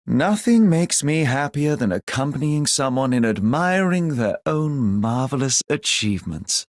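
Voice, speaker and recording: A man voicing a refined, devoted gentleman companion in a game, with a courtly manner. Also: medium pitch, lively intonation, a little slow, dry recording, studio quality, close to an everyday voice. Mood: admiring, devoted. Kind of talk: scripted game voice lines.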